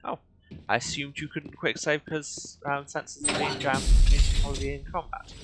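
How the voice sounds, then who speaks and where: A man's voice: a little high, flat delivery, normal speed. A man giving casual, wry game commentary as a let's play streamer, with sarcastic asides. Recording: clear game noise behind the voice. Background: video game sound.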